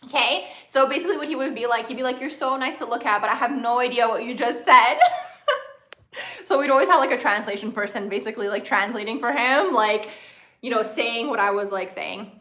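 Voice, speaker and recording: A young woman hosting a casual astrology livestream, talking very fast and bubbly and filling her sentences with "like" as she answers viewer comments. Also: on the high side, pushed and bright, echoey room. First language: another language first, grew up speaking English from age four. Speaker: astrology channel host.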